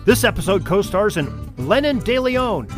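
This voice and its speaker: A man's voice: high-pitched, sing-song, normal speed. A man reading podcast credits as a scripted announcer in the style of old radio. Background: guitar music.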